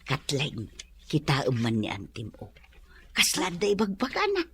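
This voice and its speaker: A woman's voice: deep voice, wildly swooping pitch, measured pace, fairly bright tone, husky, full character voice. A woman playing a middle-aged, chatty, exclamatory mother with an Ilocano Filipino accent in a comedy radio drama. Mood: animated. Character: middle-aged gossipy mother, exasperated and animated.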